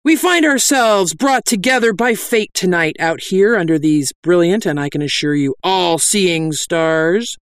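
Woman acting a haughty, high-pitched warrior woman preaching. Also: very animated, unhurried, no echo. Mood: grandly preaching. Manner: declamatory, haughty, scolding. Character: bold bluffing young warrior woman posing as stern priest. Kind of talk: fantasy audiobook character acting.

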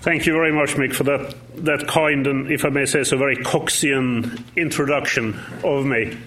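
A man's voice: mid-range voice, steady tone, a bit bright. Courteous Norwegian-born man lecturing. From Norway. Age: 50s. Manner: measured, courteous, lightly humorous. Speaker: guest lecturer. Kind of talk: lecture opening.

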